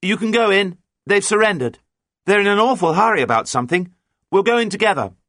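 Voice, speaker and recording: A man's voice: on the high side, sing-song, brisk, husky, no echo, studio quality, pitched above the performer's own voice, heavily acted. A man voicing an earnest boy captain giving sailing orders in an audiobook. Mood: commanding. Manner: commanding, calling out nautical orders. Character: earnest boy sailor captain, brisk and cautious. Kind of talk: audiobook character dialogue.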